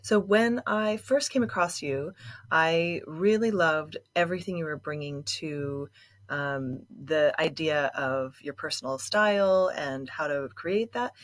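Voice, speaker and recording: A woman hosting an interview podcast, asking encouraging questions in a conversational way with some fillers. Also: low voice. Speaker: podcast host.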